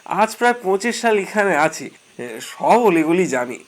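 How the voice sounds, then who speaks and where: A man voicing a humble, poor Sherpa shopkeeper in his forties in an audio drama, deferential and halting. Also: sits high, big pitch swings, a little hiss or hum. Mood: humble, hesitant.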